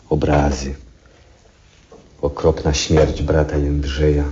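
An actor playing a lonely, sick old male astronomer in a radio drama, speaking in a halting, reflective, husky voice. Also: extremely low pitch, a little hiss or hum. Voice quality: husky, hushed. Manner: halting, reflective, with long pauses. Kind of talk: 1970s Polish radio drama acting, introspective monologue. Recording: dull, muffled 1970s radio recording.

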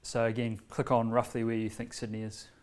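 A man, giving a casual workshop demonstration in a meeting room with many fillers and pauses. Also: medium pitch, completely flat delivery. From New Zealand. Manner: standing, walking between screen and laptop. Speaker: presenter demonstrating a learning tool. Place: bright medium-sized meeting room with a screen, indoors. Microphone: far camera.

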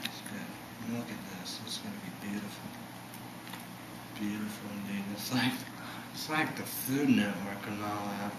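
Slow, rambling young man in a roomy kitchen. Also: lowish voice, steady tone. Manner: rambling, halting, self-correcting. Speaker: home cooking vlogger. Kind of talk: casual cooking demo to camera. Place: home kitchen, indoors. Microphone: camera mic from across the room. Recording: roomy home video with loud hiss.

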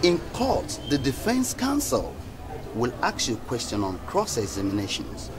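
A man's voice: mid-range voice, wildly swooping pitch, moderate pace. An actor playing a lawyer who lectures his client. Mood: lecturing. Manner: lecturing, emphatic. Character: lecturing male lawyer, middle-aged. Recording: outdoors with traffic noise.

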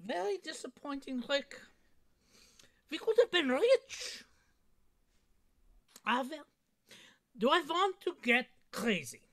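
A woman playing a smug, taunting villain in a theatrical, drawn-out way at a comedy table read. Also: high voice, very animated, full character voice. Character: smug taunting villain, an old rival of a cop. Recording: video call.

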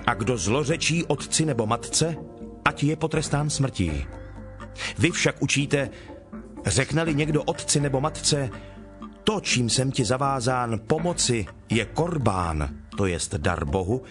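A stern, authoritative teacher of faith, played by a male actor speaks in a dramatized scripture reading. His mid-pitched voice is solemn and earnest, with measured, emphatic delivery. Music plays clearly behind him. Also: lively intonation, lightly acted. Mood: stern, reproving.

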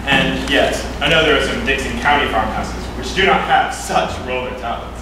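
A young man on a school stage playing a county attorney who questions people in a brisk, condescending way. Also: medium pitch, lightly acted. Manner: brisk, probing, patronizing. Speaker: student actor. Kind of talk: amateur stage acting. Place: high school auditorium stage. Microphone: far camera in the audience. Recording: echoey hall, far off, noisy.

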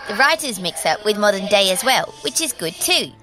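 A woman's voice: medium pitch, sing-song, normal speed, natural voice. A woman voicing a creepy, playful, mysterious little girl with a childlike, teasing sing-song voice in an audio drama. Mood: sweet, teasing. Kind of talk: in-character audio drama address to listeners. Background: music under the voice.

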